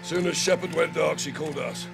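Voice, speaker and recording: An actor with a Mexican accent playing a fiery, commanding special forces colonel in a game cutscene. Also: male voice, a little high, brisk, vocal fry, loud music behind the voice. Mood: urgent, commanding. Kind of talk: video game cutscene acting.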